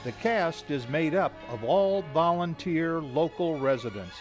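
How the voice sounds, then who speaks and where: Highish male announcer over music. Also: expressive, unhurried. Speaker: theater announcer. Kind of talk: formal scripted announcement.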